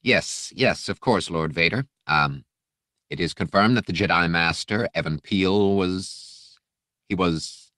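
A man's voice: deep voice, slow, bright, forceful tone, no room sound, clean studio sound, full character voice. A man voicing a nervous, servile alien aide in an audiobook, hesitant and deferential, prone to stammering. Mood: anxious, servile. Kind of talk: audiobook character voice.

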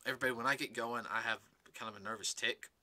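Raspy guy with a really bright voice rambling through a casual podcast movie chat. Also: mid-range voice, fairly even intonation, very fast, no room sound. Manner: rambling, many fillers and restarts. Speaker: podcast co-host.

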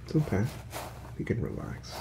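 A man in his thirties speaking softly and soothingly in an ASMR hairdresser roleplay, hushed and reassuring. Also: moderate pace, noisy background. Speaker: ASMR performer playing a hairdresser. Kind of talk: ASMR roleplay, soft-spoken. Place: small indoor room with salon products.

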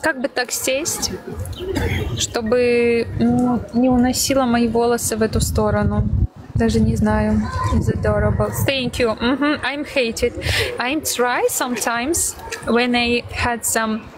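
A woman's voice: a little high, level tone, takes it slowly. A young woman who speaks broken English with a Russian accent and switches to Russian, chatting and thanking viewers on a phone livestream outdoors. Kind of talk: casual livestream chat greeting viewers. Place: outdoors on a windy street. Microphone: phone held close to the face. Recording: phone recording with loud street noise. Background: loud street traffic and wind.

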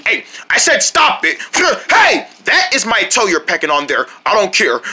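A performer voicing a clumsy, silly yellow cartoon owl who is folksy, excitable and easily exasperated. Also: mid-range voice, normal speed, husky, full character voice. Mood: annoyed, protesting. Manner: folksy, excitable, stammering protests. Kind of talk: animated cartoon acting.

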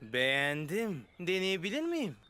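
A woman voicing a cool, confident young motorbike in an animated children's cartoon, friendly and casual. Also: on the low side, measured pace, noisy background.

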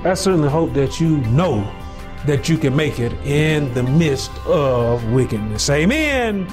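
A man in his forties delivers a sermon from a small room, his high voice swinging widely in pitch. He moves slowly and deliberately, then climbs into shouted peaks. Faint music sits behind him. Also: big pitch swings. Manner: preaching with rising, shouted peaks and slow drawn-out phrases. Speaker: pastor.

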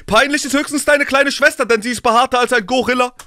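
A man in his thirties giving casual, animated, slangy reaction commentary in bursts, close on a studio microphone. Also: extremely high pitch, fast talker. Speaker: music reaction streamer. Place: small indoor streaming studio. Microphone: close studio microphone on desk, headphones.

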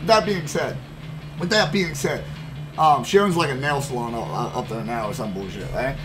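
A man's voice: medium pitch, moderate pace, low-quality recording. A young man streaming from a headset at his gaming desk, telling a long story in a loose, profane, rambling way full of fillers. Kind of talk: casual storytelling while gaming. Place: indoor room at gaming desk. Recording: background guitar music playing.

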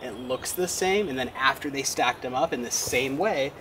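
A man's voice: medium pitch, normal speed, noisy background. A man in his thirties exploring a cave house on a wooded hillside, explaining what he finds to a handheld camera with curiosity. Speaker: vlogger and father. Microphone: handheld camera, sometimes at arm's length.

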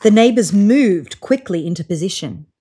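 A woman in her thirties reading a children's picture book aloud on a sofa, with voiced sound effects and hushed whispers. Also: mid-range voice, expressive, moderate pace, husky. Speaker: storybook reader. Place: indoors on a sofa.

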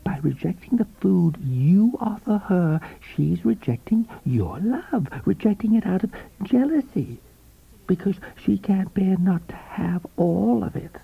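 A man puts on a silvery young mistress from an audiobook, pitched high and breathy. She coos with false sympathy and knowing insinuation. The recording is muffled. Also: animated, unhurried, heavily acted. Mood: knowing, cooing. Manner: insinuating, cooing concern.

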